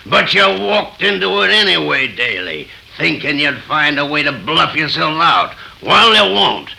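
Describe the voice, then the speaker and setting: Gravelly, snarling frontier villain. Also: male voice, on the high side, very animated, a little slow, sharp tone, full character voice. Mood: menacing. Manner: snarling, threatening. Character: vicious, jealous, gun-toting frontier husband, bullying villain. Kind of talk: 1930s radio melodrama acting. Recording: noisy 1930s radio recording.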